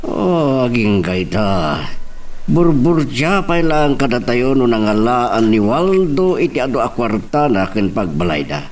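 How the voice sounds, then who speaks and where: Man acting suspicious husband, Ilocano accent, mid pitch, lively intonation, slightly distant. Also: measured pace, slight background noise. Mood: surprised, suspicious. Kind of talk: Ilocano radio-style drama acting.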